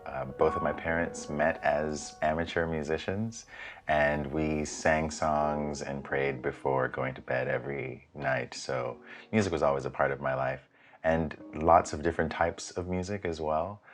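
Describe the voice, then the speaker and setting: Man from the Bahamas, very deep voice, composer, relaxed and reflective, interview, faint music. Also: unhurried. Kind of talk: documentary interview.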